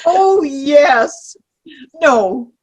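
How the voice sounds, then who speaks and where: Woman playing a prim old lady, high, very slow. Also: lively intonation, full character voice, rough recording. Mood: flustered. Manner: halting, giggly. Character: prim, forgetful old homebody Millie, abstemious, giggly. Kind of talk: virtual play reading, comedy. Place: video call.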